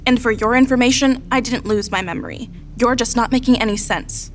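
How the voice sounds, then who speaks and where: A woman's voice: on the high side, brisk, a little hiss or hum, natural voice. A woman playing a confused, defensive teenage schoolgirl in an audio drama. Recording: music under the voice.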